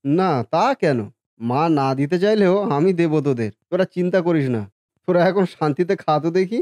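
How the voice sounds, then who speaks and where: A man playing a gentle, generous younger brother in a cartoon family drama, placating and soft-spoken. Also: fairly high pitch, expressive, brisk, no echo, close to an everyday voice. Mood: placating, reassuring.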